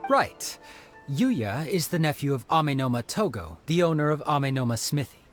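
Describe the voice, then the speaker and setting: Friendly eager helper guy, really lively voice. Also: a little high, moderate pace. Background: game music.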